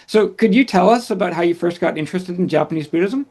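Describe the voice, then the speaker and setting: Host: middle-aged man with a high voice, warm and enthusiastic academic interviewer. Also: fast talker. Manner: friendly and enthusiastic. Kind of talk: podcast interview introduction.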